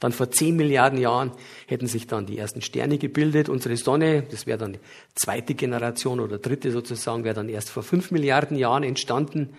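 Middle-aged guy w a bavarian lilt, kinda husky, earnest lecture voice, stops and starts a bit. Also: mid-range voice, brisk. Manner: earnest, explanatory, with occasional hesitations. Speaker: guest lecturer. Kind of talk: public lecture.

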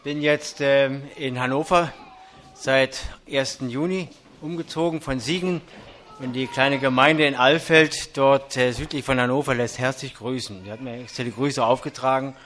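An older man from Berlin with a light Berlin accent, preaching and reading scripture to a large congregation in an earnest, measured way with frequent hesitations. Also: mid-range voice, steady tone, a bit bright, a little hiss or hum. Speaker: traveling preacher. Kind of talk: sermon with Bible reading. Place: church hall.

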